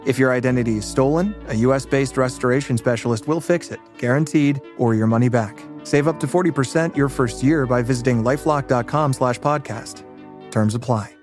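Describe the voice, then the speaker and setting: A man's voice: medium pitch, fairly quick, a little mellow, faint music behind. A man announcer delivering a scripted sponsor read.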